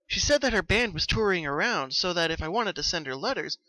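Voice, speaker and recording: A man acts out a young female party pony in a dramatic story reading. The voice is raised high and very bright, with close dry sound. She is sweet, troubled and earnest, wearing her emotions openly. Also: animated, fairly quick, no room sound, low-quality recording. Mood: earnest, puzzled.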